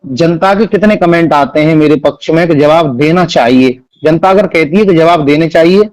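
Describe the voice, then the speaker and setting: A man answering interview questions on a call in a formal, measured way with learned, scriptural wording. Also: on the high side, a little mellow, no room sound, rough recording. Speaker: religious singer-storyteller interviewed by phone.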